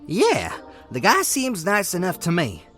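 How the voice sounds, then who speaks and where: A woman's voice: on the low side, huge pitch swings, measured pace, fairly bright tone, faint music behind, full character voice. A woman voicing a friendly, trusting female animal companion who talks in a folksy, easygoing, upbeat way in a fan comic dub. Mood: cheerful.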